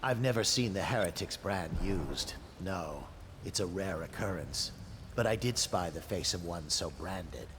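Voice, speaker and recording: An actor playing a seasoned male brother of a stern religious order in a video game, speaking in a measured, formal way. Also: sits low, expressive, background noise. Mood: solemn.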